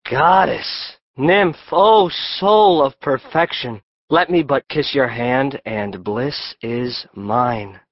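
A man's voice: medium pitch, slow pace, hardly any pauses, no echo, highs cut off. An actor playing a gallant, lovesick young man in a Shakespeare audiobook, with florid, declamatory speech. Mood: adoring. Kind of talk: full-cast Shakespeare audiobook acting.

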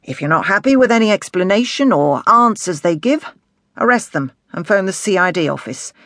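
A man acting a brash, high-pitched detective inspector. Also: expressive, moderate pace, dry recording, studio quality. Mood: commanding. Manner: forceful, authoritative, blunt. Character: brash, commanding male police detective inspector, cheeky charmer. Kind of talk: audiobook character dialogue.

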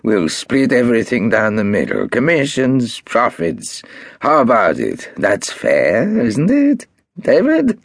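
A man voicing a desperate, scheming male businessman with a shrill, wheedling voice. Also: wildly swooping pitch, slow pace, vocal fry, breathy edge, no echo, studio quality, exaggerated voice.

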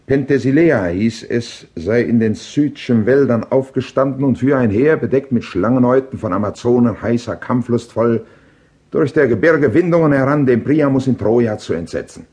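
A man performing a proud Greek king in a classical radio play. He declaims verse in a mid-pitched voice, shaping each phrase deliberately and rhetorically as he reports on war. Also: expressive, mellow, close to an everyday voice. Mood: vivid, urgent.

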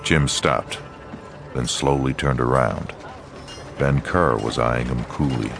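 Very deep, gravelly male western narrator. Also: slow, over music. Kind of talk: scripted western audiobook narration.

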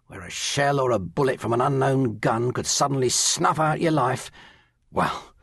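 A man's voice: medium pitch, normal speed, fairly bright tone, no room sound, clean studio sound. An actor playing an old great-grandfather, a Great War veteran looking back bitterly, in an audio drama. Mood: bitter, grave. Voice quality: gravelly, husky.

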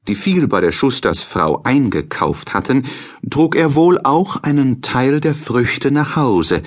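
A man reading a children's fairy tale as an audiobook narrator, in a measured, expressive storytelling manner. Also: lowish voice.

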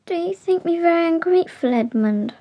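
A shy, homesick girl of nine in a period radio play. Her voice is very high and small. She speaks politely and hesitantly, with earnest care. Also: expressive, fairly quick, dark tone. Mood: shy, wistful. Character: timid, homesick nine-year-old girl, poor cousin. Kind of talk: period audio drama acting.

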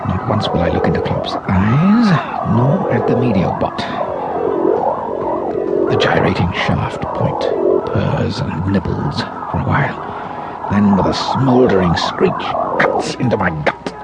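A man narrating an audiobook in a dramatic first person, theatrically savouring his words with long pauses. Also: low-pitched, very animated, vocal fry, over loud music, low-quality recording.

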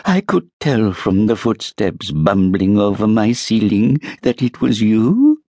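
A man voicing a fussy, genteel old woman landlady who pries sweetly, with drawn-out sing-song lines. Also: lowish voice, very animated, warm tone, no room sound, voice pushed up, full character voice. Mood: smug. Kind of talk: audiobook character voice.

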